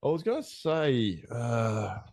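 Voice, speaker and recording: A man's voice: medium pitch, big pitch swings, slow pace, darkish tone, no echo, studio quality. A man hosting a podcast, chatting loosely, rambling and swearing often. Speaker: podcast host. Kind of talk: casual podcast chat.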